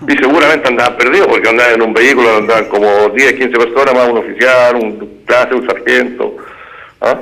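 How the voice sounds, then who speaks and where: A man's voice: medium pitch, steady tone, fairly quick, bright, forceful tone, rough recording. A man in his sixties with a Chilean accent, recalling events conversationally as a guest caller in an interview. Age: 60s. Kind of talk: conversational personal recollection.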